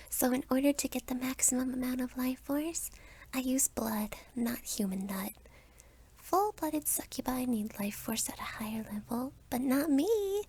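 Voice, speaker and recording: A woman's voice: sits high, darkish tone, breathy edge, pitched above the performer's own voice, heavily acted. A woman voicing a bratty young succubus vampire girl, teasing and menacing, in an anime-style roleplay. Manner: taunting, flippant.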